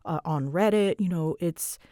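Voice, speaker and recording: A low-pitched woman talks in a very dry, close recording. She rambles casually through answers for her audience, candid and halting, often interrupting her own sentences to find the right words. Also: mellow, no echo. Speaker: channel creator answering audience questions.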